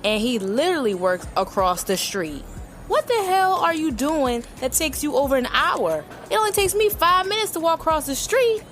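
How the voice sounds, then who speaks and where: Lively fed-up wife. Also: female voice, high voice, normal speed, faint music behind. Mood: exasperated, suspicious. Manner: emphatic, slangy, conversational. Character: fed-up, suspicious wife and mother confiding in her friend. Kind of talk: naturalistic audio drama dialogue.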